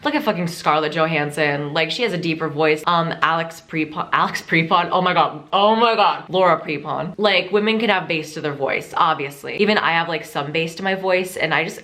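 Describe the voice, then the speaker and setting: Young woman vlogger, lowish voice, chatty, salty, playful, casual tips to camera. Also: a bit bright, slight background noise. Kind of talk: casual chat.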